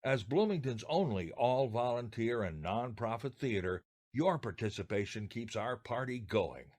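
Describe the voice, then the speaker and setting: A man's voice: mid-range voice, animated, normal speed, a little mellow. An American man co-hosting, giving scripted introductions and friendly banter. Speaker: podcast co-host.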